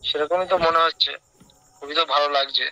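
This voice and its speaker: A man's voice: on the high side, steady tone, fast, bright, forceful tone, rough recording. A man in a casual phone conversation, questioning the other person insistently. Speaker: prank call recipient.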